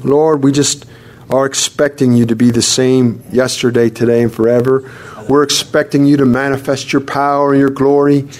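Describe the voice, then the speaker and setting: A man preaching to a congregation and praying aloud fervently, calling on the Lord again and again and reading scripture slowly. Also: mid-range voice, little pitch movement, a little mellow. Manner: fervent, repeats "Lord" often; reads scripture slowly and deliberately. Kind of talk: sermon and spoken prayer. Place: church service with congregation responding.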